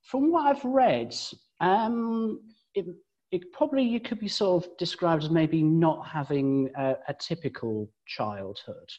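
A man's voice: on the high side, expressive, unhurried, muffled recording. Older male podcast host. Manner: friendly, hesitant with many fillers. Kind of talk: podcast interview.

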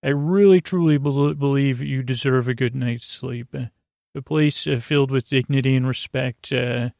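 Gravelly, meandering male bedtime podcast host. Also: medium pitch, a little mellow, no echo, studio quality. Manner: halting, meandering, with stammers and self-corrections; goofy, whimsical. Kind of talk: rambling bedtime monologue to help listeners sleep.

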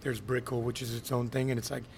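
A man from Miami hosting a casual podcast, an animated storyteller who likes to rant and act out quotes. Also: mid-range voice, quick pace, vocal fry, a little hiss or hum. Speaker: podcast host.

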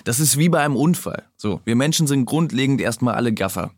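A patronizing journalist interviewed in an audio drama. His medium-pitched voice sounds self-assured, recorded close and dry. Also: lively intonation, fast, no echo. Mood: patronizing, justifying.

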